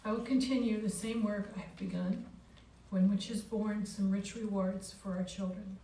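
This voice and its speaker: Mellow-voiced woman speaking in an echoey room. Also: medium pitch, steady tone, normal speed. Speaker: school board incumbent candidate. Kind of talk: prepared campaign speech. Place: public forum in a board room. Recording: echoey boardroom from far off, faint noise.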